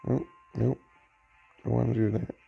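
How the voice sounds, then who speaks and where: Gaming commentator: offhand, self-directed chatter, noticeable vocal fry, quiet music underneath. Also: mid-range voice, flat delivery, a little slow, highs cut off. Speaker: game streamer. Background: faint game music.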